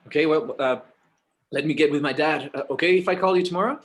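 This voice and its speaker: A man plays a hesitant, harried grown son in a screenplay read. His voice sits a little high, and he talks in a halting way, stammering and restarting. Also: close to an everyday voice. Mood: flustered. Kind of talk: screenplay table read acting.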